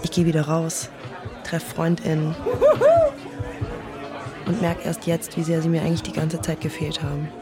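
A woman's voice: low voice, monotone, normal speed, long pauses, a loud crowd, close to an everyday voice. Young woman played by an actress, tender, wounded, introspective podcaster type, intimate audio drama delivery, music underneath. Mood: quietly determined. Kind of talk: naturalistic audio drama acting and intimate inner monologue.

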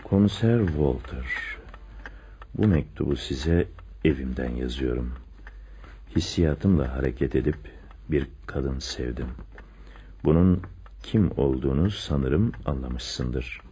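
Radio play villain: a theatrical, love-obsessed murderous police inspector, extremely low voice, noisy recording. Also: male voice, takes it slowly, pauses often, mellow. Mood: resigned, remorseful. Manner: theatrical, swinging from muttering to agitated cries. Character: handsome, lovestruck police inspector turned murderer, obsessive and remorseful. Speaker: radio drama actor. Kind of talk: Turkish radio theatre acting.

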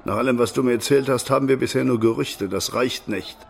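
A gravelly, commanding medieval chancellor. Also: male voice, medium pitch, fairly quick. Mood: firm, demanding. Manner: authoritative, instructing. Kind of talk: German radio play acting.

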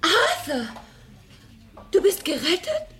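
A woman's voice: very high-pitched, slow pace, faint music behind, exaggerated voice. An actress playing a breezy, sociable space-travelling woman in a radio play. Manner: breezy, animated.

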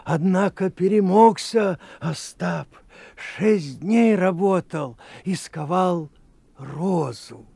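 An actor playing an old village grandfather who tells folk tales in a slow, sing-song way, in a radio play. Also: high-pitched, creaky, mellow, husky, dry recording, full character voice. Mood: storytelling. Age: older. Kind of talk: 1980s radio play storytelling.

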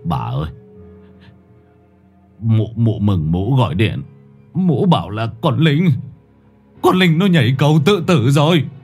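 A man's voice: medium pitch, sing-song, moderate pace, long pauses, breathy edge, soft background music. A man voicing a shaken older village father who stammers in shock. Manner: stammering, flustered.

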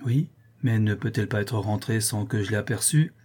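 A melodramatic old male porter acted out by a male reader, grandly dignified and easily scandalized. His storybook speeches are formal and exclamatory, in a husky, mellow voice. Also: on the low side, brisk. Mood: stubborn, pondering.

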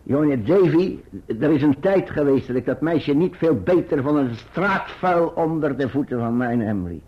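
A man's voice: a little high, lively intonation, normal speed. An actor playing a devoted older working man in a Dutch radio play, stammering and repeating his words. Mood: remorseful, resolute. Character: Baas Peggotty, devoted older working man searching for lost Emily. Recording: muffled, husky old radio recording.

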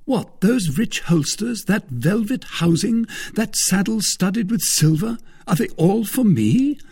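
A man voicing an excitable, vain young musketeer in a storybook, delighted and proud, with exclamatory, drawn-out words. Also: high voice, slightly rough, pitched above the performer's own voice, heavily acted. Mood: amazed delight. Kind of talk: storybook character dialogue.

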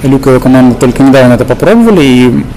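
A man with a Russian accent working as interviewer and translator, speaking in halting pieces with long hesitations. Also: mid-range voice, lively intonation, dark tone. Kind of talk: interview questions and consecutive translation. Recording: slightly noisy live recording.

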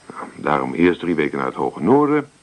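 An actor playing a restless, evasive young student and farm son in a Dutch radio play, brushing off worries breezily. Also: male voice, a bass voice, very animated, fairly quick. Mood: defensive, dismissive. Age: young adult.

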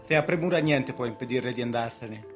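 An actor in a radio drama playing a horrid, possessive student who serves as a judge's lackey. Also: male voice, medium pitch, brisk, close to an everyday voice. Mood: sneering, possessive. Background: music.

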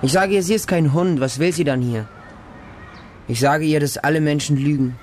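A man's voice: a little high, normal speed, darkish tone, a little hiss or hum, lightly acted. An actor playing a distrustful feral orphan boy raised by dogs, narrating a radio drama in short, insistent, repetitive childlike sentences. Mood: defiant. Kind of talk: radio drama monologue, first-person narration.

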